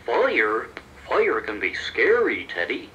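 A man voicing a silly, childlike, eager sidekick creature in a children's audio story, exclaiming and easily excited. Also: medium pitch, slow pace, dull audio, a little hiss or hum, full character voice. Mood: nervous. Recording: promotional children's cassette tape.

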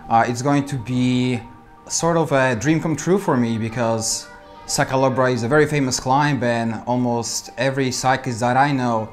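Polish-accented male amateur cyclist, thirties, measured reflective interview delivery, clear music bed underneath. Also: mid-range voice, fairly even intonation. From Poland. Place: indoor studio with dark backdrop.

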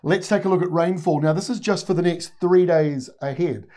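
A man's voice: on the high side, moderate pace, dark tone. A man in his forties with a New Zealand accent, giving a conversational weather forecast in a studio on a lapel mic. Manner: conversational, pointing at maps while explaining. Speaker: weather presenter. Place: studio in front of weather maps.

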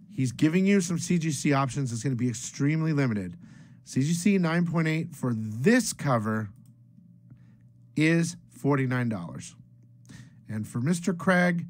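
A middle-aged man hosting a comic book video from a small room at home, talking casually and upbeat with loose restarts. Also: medium pitch. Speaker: comic book channel host.

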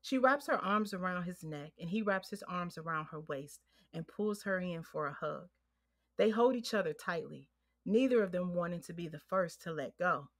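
A woman's voice: lowish voice, lively intonation, moderate pace. A woman reading scripted narration for an audio drama, describing the action. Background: music.

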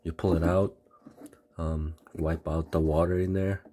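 Low husky man explaining a furnace fix. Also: little pitch movement, mellow. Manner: halting, many fillers and restarts, explains while pointing. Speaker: homeowner showing a furnace repair. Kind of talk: casual how-to video. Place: indoor basement utility room. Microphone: phone camera held close.

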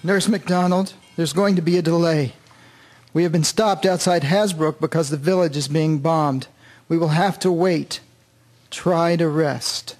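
A man plays a wartime army doctor in a radio drama. His voice is rather high for a man. He speaks in a calm, measured and kindly way, as an officer in command. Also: soft background music, natural voice. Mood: grave. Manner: measured, reassuring.

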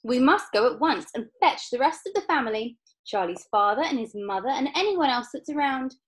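A woman's voice: fairly high pitch, sing-song, normal speed, pitched above the performer's own voice. A woman voicing an exuberant, eccentric man who owns a chocolate factory, with an emphatic, commanding manner. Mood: excited.

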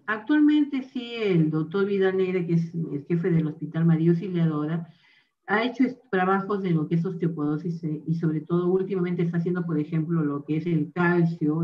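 A woman doctor giving an online medical lecture, explaining carefully and checking in with her listeners. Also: on the low side. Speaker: rheumatologist giving a talk. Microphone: video call microphone. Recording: dull distant video call audio.

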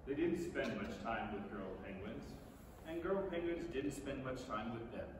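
A middle-aged man reading a storybook aloud on stage in an expressive, theatrical way. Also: mid-range voice, normal speed. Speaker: stage reader. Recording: echoey theatre from far off, noisy.

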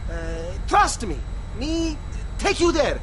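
A man playing an excitable, eager young pilot in a video game, with halting, broken phrasing. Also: extremely high pitch, a little hiss or hum, heavily acted.

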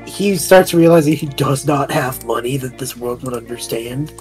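A woman's voice: extremely low pitch, steady tone, moderate pace, a little mellow, faint music behind. A woman chatting casually as a tabletop game player, thinking aloud as she describes what her character does. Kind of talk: casual roleplay chat, describing a character's actions.

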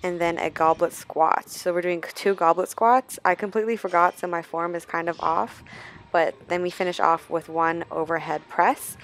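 A woman's voice: on the low side, steady tone, moderate pace, fairly bright tone. A young woman vlogger doing a casual voiceover, chatting and explaining a workout step by step. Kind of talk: casual travel vlog voiceover.

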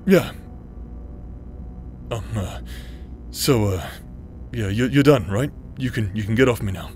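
A man's voice: lowish voice, expressive, unhurried, darkish tone, soft background music. A man acting out a cocky, battle-worn adventurer, protective and teasing, in an audio roleplay. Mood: tender, flustered.

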